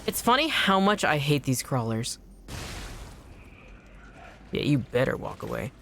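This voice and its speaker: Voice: medium pitch, sing-song, unhurried, a bit bright, slight background noise. Chatty streamer yelping mid-sentence. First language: Anishinaabemowin. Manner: chatty, swears and yelps at enemies mid-sentence. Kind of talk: casual gaming stream chat.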